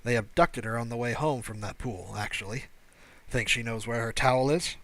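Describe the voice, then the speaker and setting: A man's voice: medium pitch, normal speed, a bit bright, no room sound, close to an everyday voice. A man voicing a wry, kindly alien rescuer who talks in a breezy, teasing, persuasive way in an audiobook. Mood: wry, amused. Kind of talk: audiobook character dialogue.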